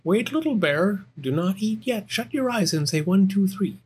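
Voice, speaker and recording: A man's voice: sits high, animated, moderate pace, darkish tone, slightly rough, voice pushed up, heavily acted. A man voicing a frank, helpful male cat in a children's storybook, blunt and instructing. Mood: urgent.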